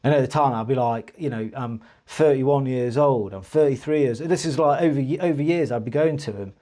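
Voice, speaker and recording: A man speaking to camera in a loose, rambling way, often stopping and restarting his sentences. His voice sits at a middling pitch with a dark, mellow tone. He sounds earnest and a little frustrated. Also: brisk. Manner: rambling, hesitant, full of restarts and "sort of, like". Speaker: vlogger. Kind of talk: personal storytelling to camera.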